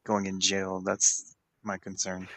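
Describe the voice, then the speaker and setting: Lower-pitched man, casual game player. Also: completely flat delivery, takes it slowly, fairly bright tone, no room sound. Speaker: player in a tabletop role-playing game.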